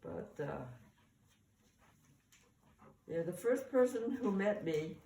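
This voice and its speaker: An older woman, a mother casually sharing family memories in a halting, conversational way. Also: low voice, dark tone. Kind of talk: casual oral-history reminiscence. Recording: echoey, distant mic, faint room noise.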